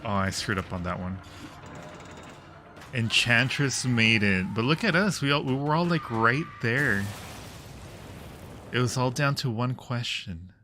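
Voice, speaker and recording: A man's voice: mid-range voice, animated, unhurried, pauses often, music in the background. A man hosting a livestream, chatting casually with viewers while playing a game. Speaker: livestream host. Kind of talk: casual livestream gaming chat.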